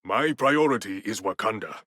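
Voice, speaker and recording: A regal warrior king of an African empire for a video game. The voice is low and measured, with an African accent and expressive, dignified phrasing. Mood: proud, firm.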